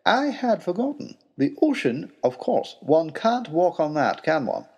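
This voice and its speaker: A man performs a dotty male professor from an audio adventure story, pitched very high. He is vague and easily flustered, innocently stubborn, and his voice swoops about with a slightly husky edge. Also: sing-song, unhurried, a little mellow, full character voice. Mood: sheepish, flustered. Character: absent-minded, brilliant old male professor, dotty and sheepish.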